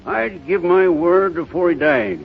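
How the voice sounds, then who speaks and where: A man's voice: sits high, a little slow, creaky, faint music behind. An actor playing a stern, bitter, unforgiving townsman with a curt, blunt delivery in 1930s radio drama style. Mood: bitter, firm. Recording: muffled 1930s radio recording.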